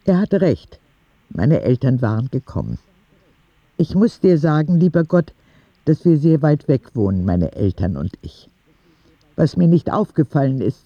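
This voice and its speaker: Husky frank, cheeky boy narrator. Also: medium pitch, dry recording, dull audio, full character voice. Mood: wry. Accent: standard German. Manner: candid, wry, conversational storytelling. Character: ten-year-old sick boy Oskar, frank, cheeky, telling his story. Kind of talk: audio drama narration as letters to God.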